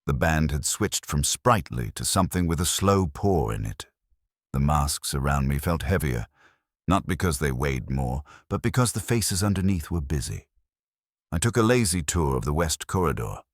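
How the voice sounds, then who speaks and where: A man reading a first-person detective story as an audiobook narrator, in a measured hardboiled style. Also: very deep voice, lively intonation, no echo, clean studio sound.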